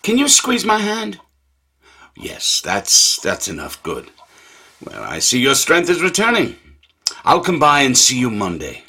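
Husky, very animated reassuring doctor. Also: male voice, medium pitch, a little slow, exaggerated voice. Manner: professional, soothing, expressive. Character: reassuring, brisk male family doctor of the early 1900s. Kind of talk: radio drama acting.

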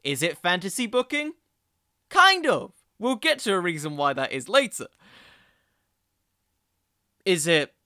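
A man's voice: high voice, sharp tone, no room sound, studio quality. A man hosting a solo podcast, giving casual, opinionated commentary in an informal, digressive way. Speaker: podcast host.

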